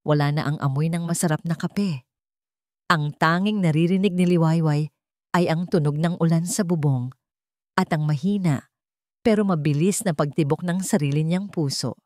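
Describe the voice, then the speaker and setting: A woman's voice: low-pitched, moderate pace, a little mellow, no room sound, studio quality. A woman narrating a scripted story as a dramatic storyteller.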